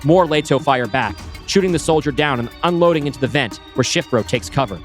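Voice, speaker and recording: A man reading a sci-fi screenplay aloud, setting scenes with brisk stage directions. His voice is mid-pitched and plain, with faint music playing underneath. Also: expressive.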